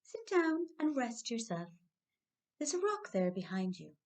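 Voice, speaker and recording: A woman's voice: on the high side, very animated, unhurried, a little mellow, voice pushed up. A woman voicing a tiny, crafty male leprechaun shoemaker in a chirpy storybook manner. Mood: polite, chirpy. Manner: chirpy, squealing when riled.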